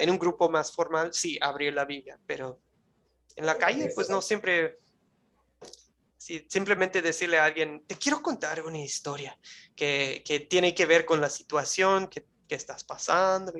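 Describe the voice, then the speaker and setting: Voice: medium pitch, fairly bright tone. A Bible course teacher giving a live online class in Spanish, which is not their first language, talking conversationally, often checking in with the listeners and restarting phrases while searching for words. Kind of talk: live online class lecture, closing with a prayer.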